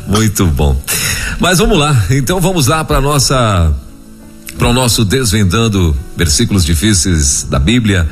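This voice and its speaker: A man's voice: lowish voice, very animated, measured pace, faint music behind. A man hosting a live radio program, chatting jovially, laughing often and reading listener questions aloud. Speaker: radio host.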